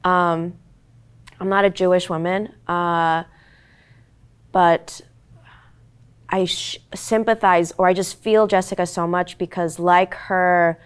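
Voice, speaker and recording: A woman's voice: lowish voice, steady tone, slow, long pauses, clear, resonant tone. A young woman actor in her twenties, whose first language was Korean, talking earnestly and reflectively on a panel with many "like"s and "um"s. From United States. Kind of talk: candid panel talk.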